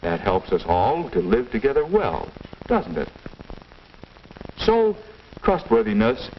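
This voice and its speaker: A patient American dad, lecturing kindly with lively rises and falls in his voice. He sounds measured and didactic, on a dull old film soundtrack with faint hiss. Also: mid-range voice, heavily acted. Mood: patient, instructive. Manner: measured, didactic, gently questioning. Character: patient, kindly father giving moral advice. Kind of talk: 1950s instructional film acting.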